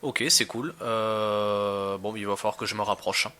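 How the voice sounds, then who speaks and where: A man's voice: on the low side, flat delivery, a little slow, sharp tone, clear, resonant tone. A man giving casual gameplay commentary as a reviewer, talking in a slangy, hesitant, rambling way. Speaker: video game reviewer.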